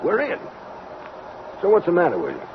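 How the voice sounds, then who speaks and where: A very noisy, phone-thin old radio recording carries a brash middle-aged major. His voice is high for a man. He talks in breezy slang, cheerful and cocky, in vintage radio drama fashion. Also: huge pitch swings, fairly quick, highs cut off. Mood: puzzled, pressing. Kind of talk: 1940s radio drama acting.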